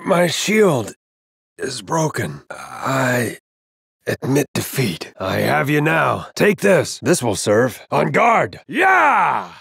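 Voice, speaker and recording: An actor playing a stern, grizzled middle-aged knight in a fantasy video game, with a gravelly, husky voice and terse, formal delivery. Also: male voice, medium pitch, huge pitch swings, slow, a bit bright, dry recording, clean studio sound, heavily acted. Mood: defeated, then resolute, controlled.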